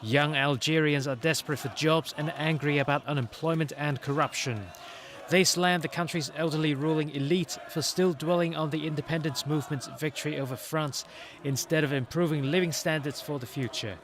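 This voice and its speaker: A man's voice: fairly high pitch, steady tone, a little fast, fairly bright tone, slight background noise. A man working as a TV news reporter, reading a scripted news narration.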